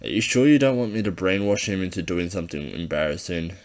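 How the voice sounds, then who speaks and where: A man's voice: deep voice, quick pace. A man voicing a laconic, sly schoolboy with casual, falsely sincere understatement, in an amateur audiobook reading. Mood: dry, pleased. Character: laconic, sly schoolboy, coolly feigning innocence. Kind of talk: amateur fan fiction audiobook character voice.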